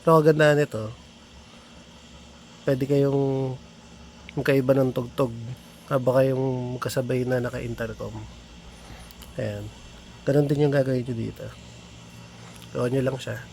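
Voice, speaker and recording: Casting: Filipino-accented man for informal product walkthrough, fairly low pitch, gravelly edge, unscripted delivery. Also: level tone, unhurried, pauses often, a little mellow. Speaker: product tutorial presenter. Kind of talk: casual hands-on product demonstration. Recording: clearly noisy background.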